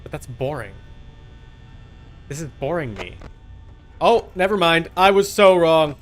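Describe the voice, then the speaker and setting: A man's voice: a little high, sing-song, a little slow, a bit bright, soft background music. A man streaming a game with casual, playful live commentary, chatting to viewers and to the game's characters.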